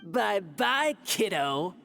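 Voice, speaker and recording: A man's voice: high-pitched, very animated, extremely slow, creaky, loud music behind the voice, heavily acted. A man voicing a smug, taunting thief in an anime video game, with a theatrical, condescending drawl.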